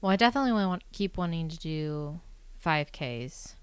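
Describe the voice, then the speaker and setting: A woman with a low, smooth voice chats as a podcast guest. Her manner is matter-of-fact and dry, with light joking. The sound is close, with no room echo. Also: animated, moderate pace. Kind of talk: casual chat.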